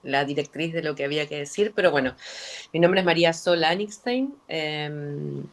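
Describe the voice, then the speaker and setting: A woman from Argentina, introducing herself as a professor on a video call with relaxed fillers. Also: low-pitched, normal speed. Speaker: public health professor.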